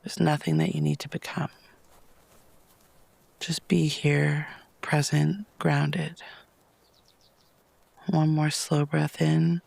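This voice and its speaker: A man softly narrating a guided meditation, gentle and unhurried, with long pauses and breathy phrases that lapse into near-whispers. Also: on the high side, no room sound.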